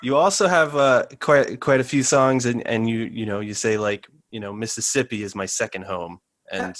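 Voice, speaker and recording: A man hosting a podcast interview who asks halting, friendly questions and says he is a big fan. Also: medium pitch, fairly bright tone, no echo. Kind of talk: casual interview.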